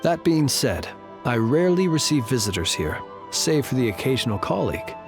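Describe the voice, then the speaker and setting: Game guardian: a polite, formal keeper of the tombs, unhurried and precise, faint music underneath. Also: male voice, medium pitch, sing-song. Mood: courteous. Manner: formal, measured, old-fashioned phrasing. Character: courtly male graveyard guardian, calm and formal. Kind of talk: video game dialogue.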